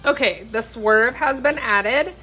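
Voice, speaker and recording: A woman's voice: a little high, slow pace, bright, forceful tone. A woman in her thirties giving chatty, explanatory recipe talk in a casual vlog from her home kitchen. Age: 30s. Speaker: cooking vlogger. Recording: faint background noise in kitchen.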